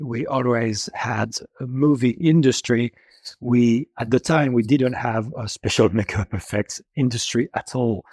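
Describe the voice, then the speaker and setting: A man in his fifties from France with a French accent, a native French speaker, answering interview questions in a halting way with many filler pauses. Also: mid-range voice, darkish tone, dry recording. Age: 50s. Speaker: special makeup effects supervisor guest.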